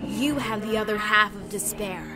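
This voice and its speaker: A woman's voice: medium pitch, normal speed, heavy background noise, close to an everyday voice. A woman voicing a cruel, mocking female villain apprentice who taunts her rival. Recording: heavy music score behind.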